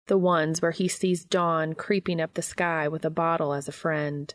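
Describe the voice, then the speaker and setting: A woman's voice: low-pitched, little pitch movement, normal speed. A woman reading scripted fiction as a podcast host and narrator.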